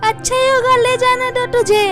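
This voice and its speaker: A woman playing a cruel village stepmother in a Hindi cartoon story, with a shrill delivery. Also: flat delivery, normal speed, fairly bright tone. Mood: scolding. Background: music.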